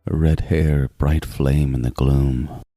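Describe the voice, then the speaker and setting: Man playing very deep-voiced brooding serial killer. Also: fairly even intonation, slow pace, warm tone, dry recording, clean studio sound. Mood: brooding, intent. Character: meticulous adult male serial killer obsessed with teeth, coldly fond. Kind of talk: first-person horror audio drama narration. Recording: music behind the voice.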